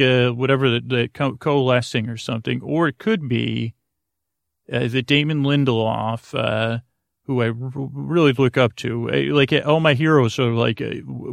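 Creaky, rambling man hosting a sleep podcast. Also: mid-range voice, dry recording. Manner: hesitant, halting, trails off and loops back. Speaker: host of a bedtime sleep podcast. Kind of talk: rambling, meandering bedtime monologue.

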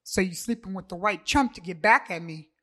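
A woman's voice: medium pitch, brisk, sharp tone. A woman reading the part of a brash, jealous ex-boyfriend who bellows and taunts. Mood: swaggering, taunting.

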